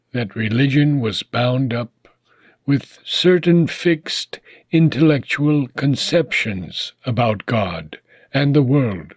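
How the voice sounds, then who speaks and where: A man reading a philosophical book aloud in a measured, solemn, deliberate manner, pausing often between phrases. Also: mid-range voice, dry recording. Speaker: audiobook reader.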